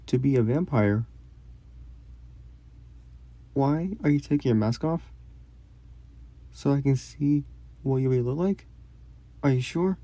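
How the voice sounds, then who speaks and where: A man playing a polite, gentle young prince in a romantic fantasy audio roleplay, speaking formally and a little hesitantly. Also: mid-range voice, vocal fry, no echo. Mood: flustered, shy. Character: young prince, courteous, gentle, shyly curious royal.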